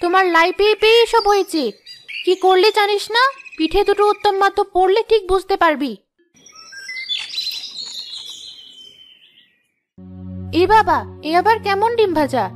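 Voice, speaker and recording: A woman playing a hot-headed young village wife and mother in a cartoon drama, sharp and accusing, firing off rhetorical questions. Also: very high voice, fairly quick, faint music behind. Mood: scolding. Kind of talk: Bengali cartoon drama acting.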